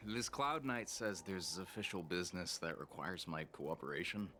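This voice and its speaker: A man voicing a hurried, reluctant businessman in a video game, brusque, wary and put-upon. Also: deep voice, expressive, pushed and bright, slight background noise. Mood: guarded.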